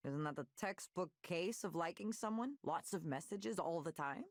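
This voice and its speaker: A woman's voice: on the low side, lively intonation, normal speed. An actress playing a teasing, blunt young woman chatting casually with a close friend in a visual novel game. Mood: playful teasing. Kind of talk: naturalistic visual novel voice acting.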